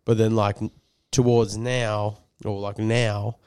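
Nasal-sounding guy, low voice. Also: level tone, slow pace, darkish tone, clean tone, dry recording, clean studio sound. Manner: rambling, swears a lot, many fillers. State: a bit nasal from a cold. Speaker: podcast co-host. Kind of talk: casual chat.

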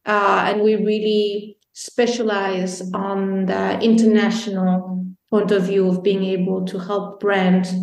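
A woman's voice: medium pitch, creaky, a little mellow. A woman from Belgium, a guest on a podcast, telling her story in a chatty way with many ums. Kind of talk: casual interview talk. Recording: roomy echo.

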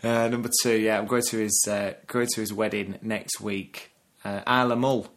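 A man's voice: lowish voice, level tone, normal speed, a bit bright. A man from Burnley with a Lancashire accent, co-hosting a casual podcast chat and laughing often. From Burnley, Lancashire, England. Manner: chatty, laughs often, drops "the". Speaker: podcast co-host. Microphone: video call.

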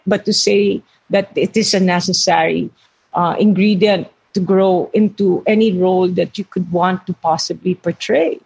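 An older woman speaks with a husky, slightly breathy voice. She talks earnestly and reflectively about her work as an actress, searching for words and correcting herself as she goes. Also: mid-range voice, darkish tone, dull audio. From Philippines. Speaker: actress, interview guest. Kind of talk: reflective interview talk.

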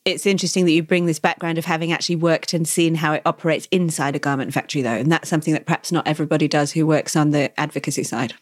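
Woman host asking probing questions, lowish voice, dry close sound. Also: fairly even intonation, rapid-fire, hardly any pauses, no room sound. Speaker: interview host. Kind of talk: interview questions.

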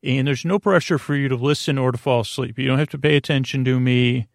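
A man with a gravelly, creaky voice of medium pitch talks close and dry, like a bedtime host. He meanders through halting, half-finished tangents. His manner is soothing and reassuring. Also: fairly even intonation, no echo. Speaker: podcast host. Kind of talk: rambling bedtime story monologue.

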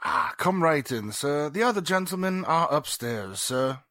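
Slow, formal, deferential butler played by a man. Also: fairly high pitch, very animated, bright, forceful tone, no echo, exaggerated voice. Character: deferential, grave male butler, formally polite. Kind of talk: 1940s radio drama acting.

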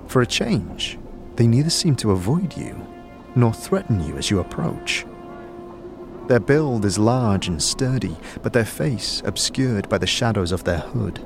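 A man's voice: on the low side, lively intonation, unhurried, over music. A man narrating a fantasy audio drama in scripted second-person storytelling.

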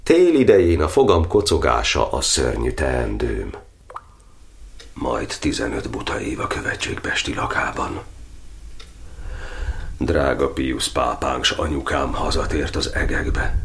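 An actor playing an old, suffering cardinal in an audio drama, declaiming a brooding verse monologue in an anguished way. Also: male voice, very deep voice, gravelly, slight background noise, heavily acted. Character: imprisoned Hungarian cardinal, old, suffering, brooding over his martyrdom.

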